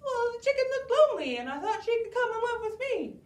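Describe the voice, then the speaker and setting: A woman voicing an excitable, cheeky young boy in a children's story. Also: extremely high pitch, quick pace, distant mic, voice pushed up. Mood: earnest. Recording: roomy, a bit off-mic.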